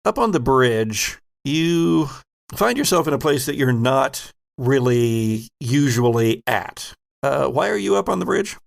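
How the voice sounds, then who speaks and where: A man narrating a tabletop game as game master, laid-back and wry, with pauses in his narration. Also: medium pitch, animated, gravelly.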